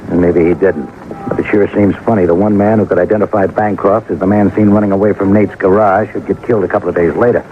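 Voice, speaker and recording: Male police sergeant, acted: low-leaning voice, fast, clipped, grim reporting, vintage radio crime drama with tinny sound. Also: close to an everyday voice. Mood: sceptical. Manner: clipped fragments, deadpan reporting. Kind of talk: 1950s radio drama acting. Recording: noisy narrow-band old radio recording.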